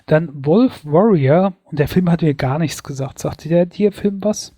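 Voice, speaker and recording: Male podcast co-host, mellow tone, dry close sound, casual and chatty. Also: a little high, a little slow, no room sound. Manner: chatty, hedging with fillers. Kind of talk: casual chat, year-in-review.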